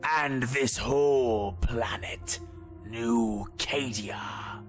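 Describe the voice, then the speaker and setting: Man acting a whispering, menacing inquisitor. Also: mid-range voice. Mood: quietly menacing. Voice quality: whispery, breathy. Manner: slow, deliberate, ominous. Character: menacing, philosophical male inquisitor, cold and calculating. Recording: voice over prominent music.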